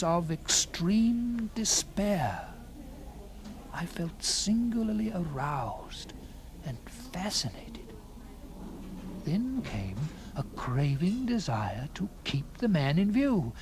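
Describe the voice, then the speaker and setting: A man narrating a gothic story in the first person, with theatrical, deliberate phrasing and dramatic pauses. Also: on the high side, slight background noise.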